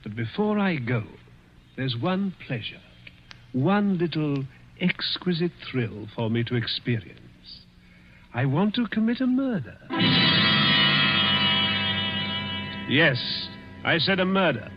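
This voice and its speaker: A man's voice: fairly high pitch, big pitch swings, measured pace, loud music behind the voice. An actor playing a cruel, dying rich man who taunts with sly chuckles in a 1940s radio drama. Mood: sinister. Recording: dull old radio broadcast.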